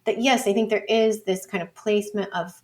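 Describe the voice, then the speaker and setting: A woman's voice: medium pitch, fairly even intonation, fairly quick, a little mellow. A woman explaining things informally as an interview guest, thinking aloud with plenty of "like" and "you know". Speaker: interview guest, data scholar and teacher.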